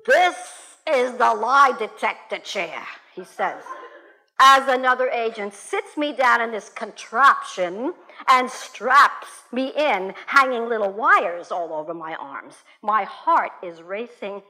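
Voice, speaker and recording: A woman whose first language is Russian tells a personal story to an audience in English, animated and blunt, swearing freely. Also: a little high, slow pace, rough recording.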